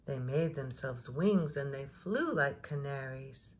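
A woman's voice: a bass voice, animated, takes it slowly, slight background noise. A woman storyteller reading a picture book to young children in rhythmic rhyme, with chatty questioning asides. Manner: rhythmic rhyming delivery, engaging listeners with questions.

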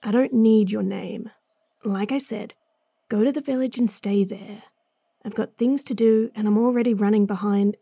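A woman voicing a gruff, gravelly bounty hunter. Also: mid-range voice, steady tone, moderate pace, warm tone, no room sound. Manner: blunt, sardonic, sighing often. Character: gruff, impatient female bounty hunter swordswoman, young adult. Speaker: voice actress. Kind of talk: fantasy roleplay audio drama.

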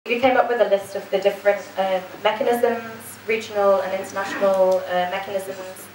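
A young woman presents informally to a workshop, rephrasing as she goes. Her intonation is very even. The room echoes and she sounds slightly distant. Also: mid-range voice, normal speed. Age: young adult. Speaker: advocacy worker presenting. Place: conference room, indoors. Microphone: handheld microphone. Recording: roomy, slightly distant, faint noise.

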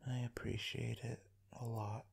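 Breathy, creaky, sleepy boyfriend acted by a man. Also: medium pitch, little pitch movement, heavily acted. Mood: tender, loving. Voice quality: breathy, husky, often whispering. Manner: slow, drowsy, intimate murmuring with long pauses. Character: sleepy, tender, devoted boyfriend murmuring to partner. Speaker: roleplay voice performer. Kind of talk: ASMR boyfriend roleplay.

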